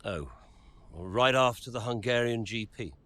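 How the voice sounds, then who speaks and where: An actor playing a wealthy, middle-aged racing team owner in a video game cutscene, deliberate, commanding and smoothly persuasive. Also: male voice, mid-range voice, fairly bright tone, natural voice. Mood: self-assured.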